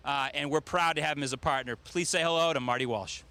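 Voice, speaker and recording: Bright-voiced man hosting a public ceremony. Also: a little high, quick pace. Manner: folksy, joking, off the cuff. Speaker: government official hosting a ceremony. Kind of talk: informal public speech introducing speakers. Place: outdoor public event in rain.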